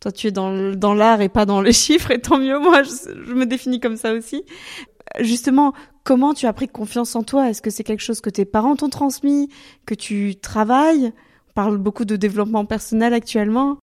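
Chatty woman interviewer, slightly high. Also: fairly quick, a little mellow. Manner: friendly, chatty, addresses the guest with tu. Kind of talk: casual interview.

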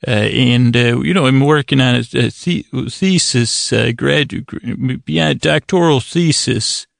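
A man's voice: mid-range voice, a little slow, gravelly, dry recording, studio quality. A man playing a self-important fake university researcher in a bedtime story, talking formally while stumbling through his bluff.

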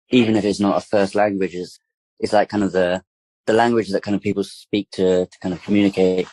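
A man's voice: fairly low pitch, dark tone, no room sound, low-quality recording. A man speaking as a guest campaigner in a casual interview, rambling with lots of "like" and "kind of". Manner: rambling, full of fillers and restarts.

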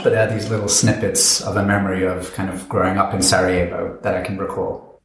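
Low-voiced man in an echoey room. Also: a bit bright. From Bosnia and Herzegovina. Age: about 30. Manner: thoughtful, with frequent "kind of" and restarts. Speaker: panel guest. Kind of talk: reflective personal storytelling. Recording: roomy, echoey sound.

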